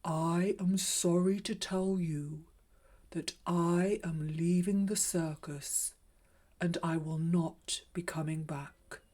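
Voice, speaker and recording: A gentle old clown from a children's storybook, voiced by a woman reader. He is sad and solemn, taking his words slowly in a husky, breathy voice. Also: on the low side, steady tone. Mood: solemn, regretful.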